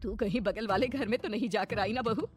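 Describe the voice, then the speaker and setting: A woman's voice: on the high side, a little fast, slight background noise, pitched above the performer's own voice, natural voice. A woman voicing an older mother-in-law, worried and grave, speaking with dramatic emphasis in a horror story. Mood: grave warning.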